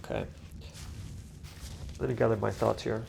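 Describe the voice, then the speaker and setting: A man's voice: lowish voice, fairly even intonation, normal speed, vocal fry, a little mellow, slight background noise. A young man with a stuffy, congested nose, casually commentating a solo game session, rambling and swearing with lots of fillers and restarts. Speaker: gaming commentator. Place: home room.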